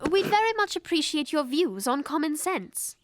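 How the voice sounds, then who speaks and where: A prim English girl in a cartoon, earnest and proper. Her voice is high and swings expressively, with music playing behind it. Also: sing-song, normal speed. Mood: prim, inquisitive. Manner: proper, formal, indignant. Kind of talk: animated series acting.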